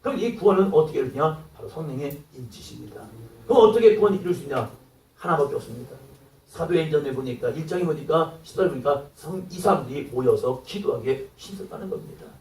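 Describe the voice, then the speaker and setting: High, lively preaching man in echoey hall. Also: fast, recorded from a distance, slight background noise. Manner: acts out quoted dialogue, rising to exclamations. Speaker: pastor. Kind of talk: sermon.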